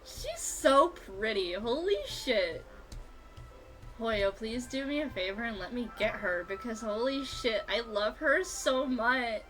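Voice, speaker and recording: A woman streaming a video game with casual, excitable, gushing and sweary live commentary. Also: fairly high pitch, slow, poor audio. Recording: music behind the voice. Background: video game music.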